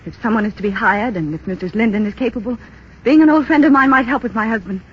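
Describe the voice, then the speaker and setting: Quick-talking, defiant 1930s radio wife. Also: female voice, a little high, husky. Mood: indignant. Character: proud, naive, defiant young wife. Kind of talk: 1930s radio drama acting. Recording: muffled 1930s radio broadcast.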